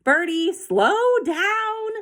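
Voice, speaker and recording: A woman voicing a lively, caring young girl in an animated children's storybook reading. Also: very high-pitched, very slow, pitched above the performer's own voice, exaggerated voice. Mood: anxious, urgent. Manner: excitable, animated.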